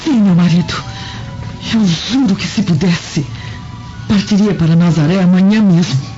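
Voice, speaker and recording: A woman's voice: fairly low pitch, measured pace, natural voice. A woman playing a devoted, tender and earnest Roman wife in a radio drama. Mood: pleading. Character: devoted, gentle Roman wife troubled for her husband. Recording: muffled radio drama with music.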